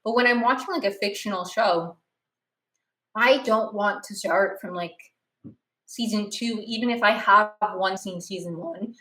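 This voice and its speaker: A woman in her late twenties, hosting a podcast in a rambling, animated chat full of restarts and fillers. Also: mid-range voice, a little slow, reverberant, rough recording. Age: 20s. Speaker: podcast host. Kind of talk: casual chat.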